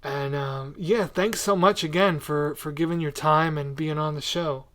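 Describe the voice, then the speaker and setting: A man's voice: fairly high pitch, fairly even intonation, normal speed, a bit bright. A man hosting a podcast, chatting casually with a guest in an interview style. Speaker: podcast host.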